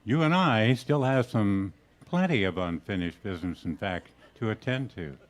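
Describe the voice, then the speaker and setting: A man's voice: on the low side, lively intonation, normal speed, close to an everyday voice. A man playing a mild, earnest, oblivious bachelor in an old-time radio comedy, polite and innocent in manner. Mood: earnest, hesitant.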